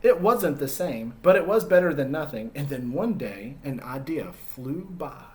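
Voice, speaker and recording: A man's voice: a little high, lively intonation, unhurried, a little mellow. A man voicing a timid yet persevering egg in a children's storybook, narrating earnestly and expressively in the first person. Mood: hopeful.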